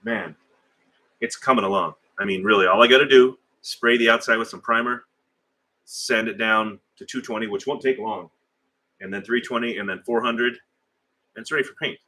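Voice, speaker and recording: A middle-aged man with a deep, low-pitched voice that still sounds bright and forceful. He talks in a loose, rambling way, stopping for fillers and restarts as he chats with viewers on a live stream. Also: moderate pace. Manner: rambling, conversational, hesitant with fillers. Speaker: livestream host. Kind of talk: casual live chat reading viewer comments.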